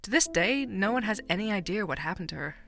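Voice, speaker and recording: A bubbly true-crime fan voiced by a woman banters on a podcast, playful and quick to joke. Her voice is bright and ringing at a mid pitch, with light music underneath. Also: expressive, vocal fry. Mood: eager. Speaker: co-host. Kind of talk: fiction podcast drama.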